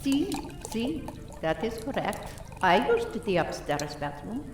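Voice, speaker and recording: A woman's voice: medium pitch, very animated, slow pace, music in the background. A woman acts out a coy, flustered lady suspect. She is dramatic and evasive, in radio play style. The recording sounds echoey and distant from the microphone. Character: flustered, coy Spanish-speaking mademoiselle, a murder suspect. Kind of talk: community radio play acting. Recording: echoey room, off-mic, slight noise.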